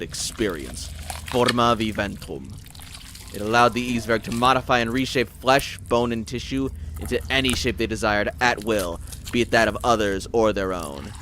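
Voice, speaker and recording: A man's voice: on the low side, noisy background. A man giving a theatrical, intense scripted narration as a storyteller. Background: music.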